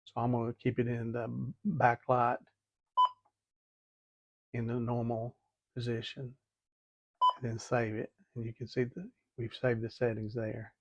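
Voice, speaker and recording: A man's voice: medium pitch, monotone, measured pace, pauses often, no room sound, highs cut off. A man giving a methodical, hands-on tutorial, talking through each step and repeating as he goes. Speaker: DIY product demonstrator.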